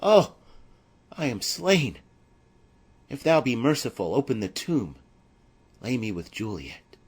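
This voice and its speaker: Man as a proud young nobleman, husky and formal. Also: medium pitch, very animated, slow pace, full character voice. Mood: dying, pained. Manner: formal, declamatory verse delivery. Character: mournful, proud young male noble, righteously indignant. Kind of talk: classical tragedy audiobook acting.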